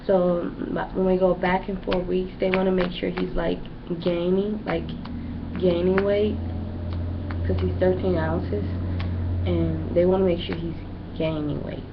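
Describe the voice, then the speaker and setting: A woman's voice: fairly low pitch, flat delivery, measured pace, gravelly. Chatty young woman, lo-fi noisy recording. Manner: rambling and chatty, many fillers. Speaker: pregnant vlogger. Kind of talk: casual pregnancy update vlog. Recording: dull lo-fi home recording with background noise.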